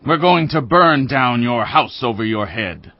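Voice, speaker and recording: A man's voice: medium pitch, expressive, measured pace, dry recording, highs cut off. An actor playing an angry, threatening tribesman in a dramatized audio Bible, confrontational in manner. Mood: angry threat.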